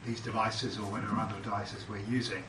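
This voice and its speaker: A man's voice: on the low side, little pitch movement, fast. A man with a British accent, a panelist and entrepreneur, speaking hesitantly with many restarts. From Britain. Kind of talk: panel discussion remarks. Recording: echoey room, distant mic, background noise.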